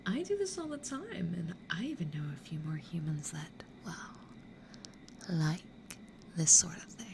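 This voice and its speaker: A woman playing a playful, mischievous demon in a hushed, teasing, creaky ASMR roleplay whisper. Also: fairly low pitch, animated, unhurried. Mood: curious, playful. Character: playful, mischievous demon who toys with humans. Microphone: close studio condenser or binaural mic. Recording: clear background noise.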